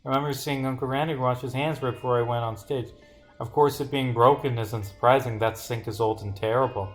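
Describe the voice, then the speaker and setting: A man's voice: medium pitch, steady tone, a little fast, faint music behind, natural voice. A man voicing a defensive, evasive young male stage performer from a visual novel, protesting under questioning.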